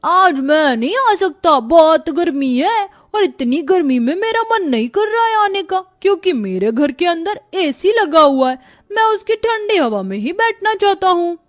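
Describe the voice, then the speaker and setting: A woman's voice: very high-pitched, big pitch swings, a little slow, soft background music, pitched above the performer's own voice, exaggerated voice. A woman voicing a smug, boastful young boy in a moral-story cartoon.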